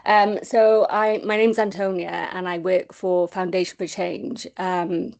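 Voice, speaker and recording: A woman's voice: lowish voice, level tone, unhurried, vocal fry, a little mellow. A woman with a Welsh accent, speaking on a video call, tells her own recovery story hesitantly, with many ums, and says she is nervous. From Wales. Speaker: charity comms and admin officer.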